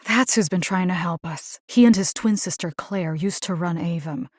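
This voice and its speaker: A woman's voice: fairly low pitch, moderate pace, dry recording, clean studio sound, close to an everyday voice. An actress voicing a resolute, caring, plain-spoken young woman in a video game, earnest and conversational with a wry edge. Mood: serious, explaining. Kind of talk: acted video game character dialogue.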